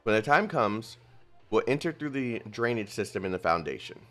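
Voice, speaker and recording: A man reading out a calm male squad leader's briefing on infiltration in his own plain voice, with lively pitch and faint music behind. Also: normal speed. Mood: instructive.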